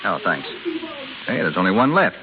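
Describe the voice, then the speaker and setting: Stubborn young American husband, 1930s radio play; swinging pitch, thin phone-like sound with hiss. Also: male voice, sing-song, normal speed, crowd noise. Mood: sheepish, casual. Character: hot-tempered, hard-up young husband, proud and stubborn. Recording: hissy, phone-like old radio recording.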